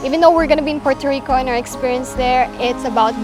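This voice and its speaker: A young woman chatting casually to camera as a vlogger, full of fillers and quick restarts. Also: high voice. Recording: music bed underneath.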